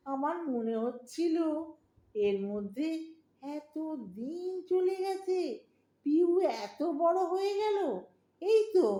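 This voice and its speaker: A woman's voice: high-pitched, warm tone, roomy sound, low-quality recording. A woman playing a lonely, sentimental middle-aged Bengali mother in an audio drama, with a pleading, emotional delivery and a Bengali accent.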